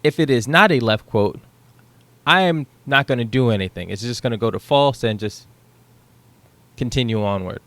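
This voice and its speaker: A man's voice: medium pitch, normal speed, pauses often, fairly bright tone, no room sound. A young man casually walking through his code, thinking aloud with the odd um and a questioning "right?", recorded on a headset in a small room at home. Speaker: programming tutor. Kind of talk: casual screen-share code walkthrough.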